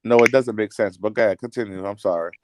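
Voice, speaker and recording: A man's voice: on the low side, quick pace, noisy background. A man hosting a casual group chat, teasing and needling the others with crude, profane banter.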